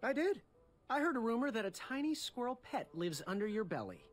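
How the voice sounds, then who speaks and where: An actor playing a friendly, eager young would-be knight in a fantasy game, polite and a little awkward. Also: male voice, extremely high pitch, sing-song, brisk, full character voice. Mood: awkwardly polite. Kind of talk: animated video game acting.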